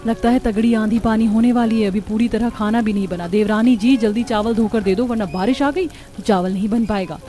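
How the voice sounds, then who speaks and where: A woman's voice: on the high side, brisk, warm tone, natural voice. A woman voicing an exasperated, practical young village daughter-in-law in a cartoon story, complaining emphatically. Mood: urgent, animated. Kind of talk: Hindi cartoon story acting.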